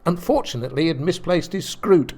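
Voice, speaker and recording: Animated, theatrical male narrator. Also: fairly high pitch, brisk. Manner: theatrical, wry. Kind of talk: scripted comic narration, mock-grand.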